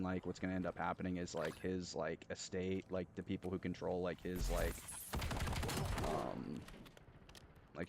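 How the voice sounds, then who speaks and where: A man chatting casually as he streams a game, rambling and repetitive in a halting, slangy way full of filler words. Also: low voice. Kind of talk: casual rambling chat while gaming. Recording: gunfire and game noise behind. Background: video game gunfire and clicks.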